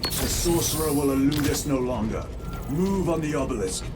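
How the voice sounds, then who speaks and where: A man's voice: fairly high pitch, lively intonation, normal speed, background noise, close to an everyday voice. A man playing a resolute veteran space marine in a video game, grave, formal and commanding. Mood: stern, defiant. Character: stern, resolute veteran space marine soldier, adult male. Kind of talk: video game cutscene acting.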